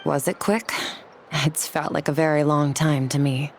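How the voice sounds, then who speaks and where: A woman voicing a cool, aloof young warrior woman in a video game, speaking in a measured, terse and formal way. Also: low voice, level tone, a little hiss or hum. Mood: solemn.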